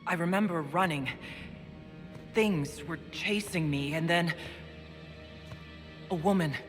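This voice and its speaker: A bewildered female dwarf prisoner in a fantasy game drama. She is earnest and questioning, her voice ringing with long reverb over clear background music. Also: fairly low pitch, takes it slowly. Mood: dazed. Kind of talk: dramatic video game voice acting.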